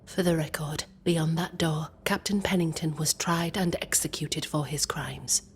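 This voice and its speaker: Woman leader seeking justice, game drama: lower register, grave intensity, slight background ambience. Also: fairly even intonation, measured pace, slight background noise. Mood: solemn resolve. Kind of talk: dramatic video game acting.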